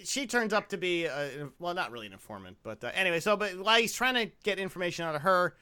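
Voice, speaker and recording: A man's voice: high voice, animated, quick pace, pushed and bright, clean tone, no room sound. A man hosting a podcast, reviewing a film in a casual, comedic, crude and opinionated way. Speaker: podcast host.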